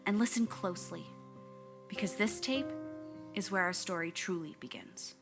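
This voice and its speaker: A woman's voice: medium pitch, normal speed, close to an everyday voice. Woman acting an earnest investigator narrating over music. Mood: confiding, grave. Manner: confiding, grave, wry. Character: earnest, candid young woman documentary narrator. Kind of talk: scripted first-person narration.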